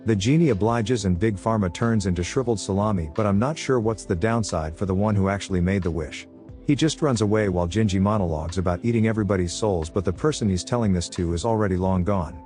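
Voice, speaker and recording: A man narrates a film recap in a fairly deep voice, tossing in flippant asides as he goes. The delivery is scripted and sardonic, with soft music underneath.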